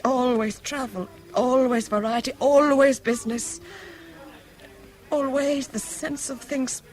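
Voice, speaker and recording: A woman's voice: high voice, steady tone, slow. An actress playing a jittery, evasive young woman, a rich man's mistress, in a radio drama, articulate and defensive. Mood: guarded, wistful. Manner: tense, defensive, articulate and wistful. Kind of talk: 1970s British radio drama acting.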